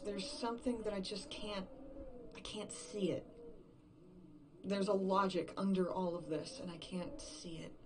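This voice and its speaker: A woman acting an exhausted, frightened survivor in a horror audio drama monologue, haltingly thinking aloud and repeating herself. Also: medium pitch, noisy background, lightly acted. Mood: agitated. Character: exhausted, frightened woman game writer, alone after everyone vanished.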